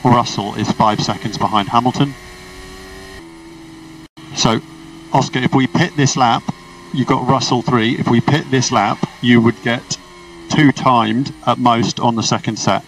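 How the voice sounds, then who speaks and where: A man's voice: medium pitch, fairly even intonation, a little slow, long pauses, fairly bright tone. A man working as a race engineer, giving clipped, procedural instructions over a motorsport team radio. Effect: team radio transmission. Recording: noisy distant radio, car and engine noise.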